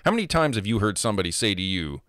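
A man in his thirties with a deep voice, ranting casually and profanely into a handheld mic held close, full of opinions. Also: sing-song, racing, fairly bright tone, no echo. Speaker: gamer vlogger. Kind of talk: casual, ranting monologue to camera. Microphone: handheld microphone held close to the mouth.

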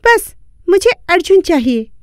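A woman's voice: very high-pitched, very animated, a little slow, no room sound, studio quality, voice pushed up, heavily acted. A woman voicing a spoiled, stubborn, haughty young woman in a cartoon story, demanding and petulant. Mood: insistent. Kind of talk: Hindi cartoon moral story acting.

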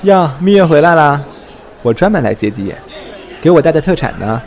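A man's voice: fairly high pitch, very animated, moderate pace, a little mellow, a faint crowd. A man in an amateur audio drama playing a breezy, joking best friend, bantering and upbeat. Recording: clear outdoor traffic noise behind.

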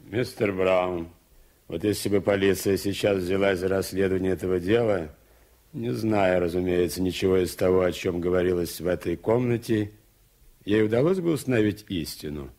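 An actor playing a cautious, worried establishment man in a 1980s Soviet radio drama, speaking deliberately. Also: deep voice, darkish tone. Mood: careful.

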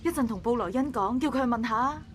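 A woman's voice: on the high side, quick pace, slight background noise, natural voice. A woman playing a concerned, bossy busybody dock worker in a visual novel, with a Hong Kong Cantonese accent.

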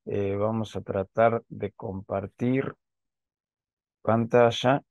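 A man in his forties from Argentina with an Argentine Spanish accent, giving a measured presentation on a video call with frequent fillers. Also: on the low side, fairly even intonation, darkish tone, no echo. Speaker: invited speaker, lawyer. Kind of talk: presentation at an online panel. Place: home office with bookshelves. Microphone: headset on a video call. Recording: slightly dull, dry video call audio.

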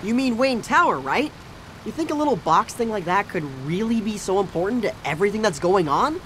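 A man voicing an eager, excitable teenage boy sidekick in a superhero video game, earnest in manner. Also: high voice, fairly quick, a little hiss or hum. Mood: eager, questioning. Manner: animated, earnest. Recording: loud music behind.

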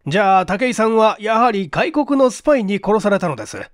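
A woman voicing a composed male police investigation chief, sober and deliberate, in a dramatic audiobook reading. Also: mid-range voice, lively intonation, no room sound, clean studio sound, close to an everyday voice. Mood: sober, regretful.

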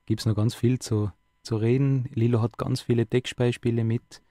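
Mellow-voiced radio host, man. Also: medium pitch, flat delivery, normal speed, dry recording, clean studio sound. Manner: conversational, asks many questions, tag words. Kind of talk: radio interview.

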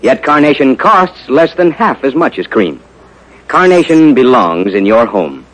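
1950s radio announcer, American male, mid-pitched, very animated, formal and polished sales delivery. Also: a little slow, rough recording. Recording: vintage 1950s radio broadcast.

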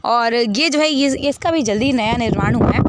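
A woman's voice: fairly high pitch, moderate pace. A young woman vlogging outdoors, chatting straight to her viewers as she walks along a railway bridge, recorded on a handheld phone. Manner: chatty, talks straight to viewers while walking. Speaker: travel vlogger. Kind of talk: casual vlog narration. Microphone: handheld phone at arm's length. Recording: loud wind noise outdoors. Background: strong wind noise.

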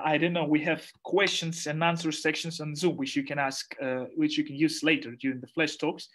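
A man's voice: fairly high pitch, fairly bright tone. A young man whose first language is Russian, opening an online society event on a video call, gesturing and searching for words. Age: young adult. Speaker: society organizer introducing speakers. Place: small room at home. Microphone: headset on a video call.

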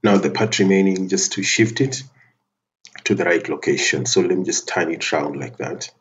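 A man giving a methodical, step-by-step software tutorial over a screen recording, often restarting his phrases. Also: low-pitched, normal speed. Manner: methodical step-by-step narration with frequent restarts and fillers.

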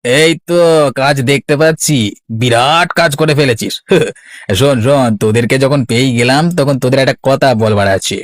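A man's voice: medium pitch, normal speed, no echo, studio quality, lightly acted. A man voicing a sneering, bossy village headman's assistant in a cartoon, with a taunting manner. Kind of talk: Bengali cartoon villain dialogue.

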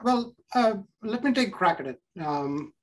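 A man's voice: sits high, lively intonation, moderate pace, poor audio. Indian-accented man answering on a panel. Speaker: panelist, company CEO. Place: room with bookshelves. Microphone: video call.

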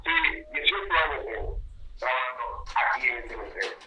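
A man's voice: medium pitch, lively intonation, moderate pace, distant mic, a loud crowd. A man of sixty-two with a Dominican accent, arguing on a phone call in an indignant, wordy way. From San Pedro de Macorís, Dominican Republic. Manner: indignant, wordy, self-justifying. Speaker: prank call victim, cemetery worker. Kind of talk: argumentative phone conversation. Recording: phone call with faint noise.

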